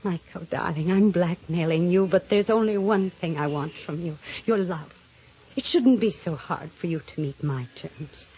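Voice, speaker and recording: A woman's voice: fairly low pitch, dark tone, breathy edge. An actress playing an obsessive, jealous woman novelist turned killer, speaking and narrating dramatically in a 1950s radio mystery style. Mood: coolly scheming. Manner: dramatic, intense, deliberate delivery. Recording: dull old radio recording, music behind.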